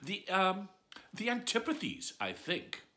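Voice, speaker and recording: A man's voice: high-pitched, huge pitch swings, a little slow, bright, forceful tone, voice pushed up, heavily acted. A man voicing a curious, chatty young girl who muses aloud to herself in a storybook reading. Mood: wondering. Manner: wondering, musing aloud.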